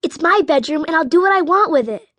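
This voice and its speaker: A woman's voice: extremely high pitch, expressive, quick pace, a bit bright, dry recording. A woman playing a stubborn seven-year-old girl in a full-cast audiobook, bratty and insistent. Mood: defiant.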